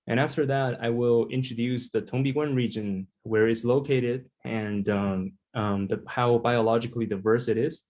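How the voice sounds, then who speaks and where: A young man from China giving an online presentation over a video call, pausing often with ums and uhs. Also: mid-range voice, fairly even intonation, darkish tone. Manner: hesitant, lots of ums and uhs.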